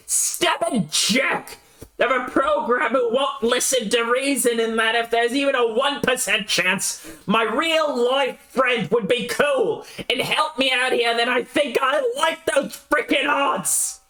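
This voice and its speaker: A man reading a story aloud and voicing a brash, excitable young male adventurer who talks loud and swaggering. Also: very high-pitched, slow, voice pushed up, exaggerated voice. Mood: riled up.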